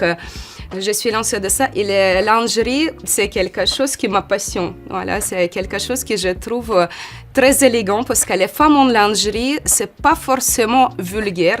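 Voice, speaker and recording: Femme de quarante ans, ukrainien, interviewée, parle en cherchant ses mots, voix de hauteur moyenne. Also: female voice, mid-range voice. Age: 40s. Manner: hesitant, many "euh" fillers, lively when acting out quotes. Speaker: model, interview guest. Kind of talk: casual interview answers.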